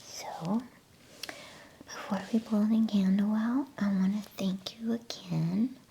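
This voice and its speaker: A woman's voice: medium pitch, fairly even intonation, slow pace, creaky, a little hiss or hum. Whispering woman reading bedtime stories. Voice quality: soft unvoiced whisper. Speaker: ASMR bedtime story reader. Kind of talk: whispered ASMR storybook reading.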